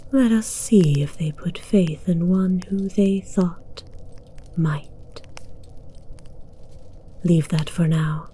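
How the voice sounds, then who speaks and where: A woman voicing an imperious, menacing dragon queen in an ASMR roleplay, speaking formally in a breathy near-whisper. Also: fairly low pitch, warm tone, slight background noise.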